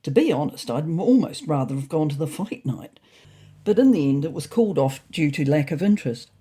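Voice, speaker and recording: A woman's voice: low voice, animated, fairly quick, dark tone, breathy edge. A woman voicing a fussy, neurotic male ginger cat who narrates a comic storybook diary in a prim, indignant, self-important way.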